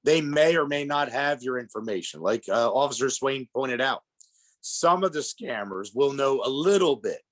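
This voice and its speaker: A man's voice: medium pitch, animated, moderate pace, fairly bright tone. Man, congested, head cold, plainspoken presenter, middle-aged. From Pennsylvania. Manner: plainspoken, explanatory, addresses audience as folks. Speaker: guest speaker on elder fraud. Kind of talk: public presentation.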